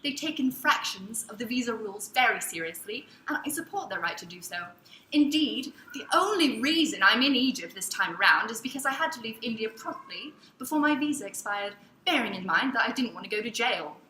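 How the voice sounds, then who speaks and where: A smug, unapologetic male wanderer holds forth pompously and flippantly, acted by a woman in a dramatic reading. The voice is bright and fairly high, in an echoey room with faint noise. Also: animated, brisk. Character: smug, unapologetic middle-class Anglo-Saxon male wanderer. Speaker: performer in a dramatic reading of emails.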